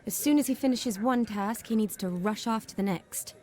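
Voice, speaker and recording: A woman's voice: mid-range voice, moderate pace, darkish tone, close to an everyday voice. An actress playing a caring young woman in a game, speaking in an earnest, concerned way. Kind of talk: video game cutscene acting.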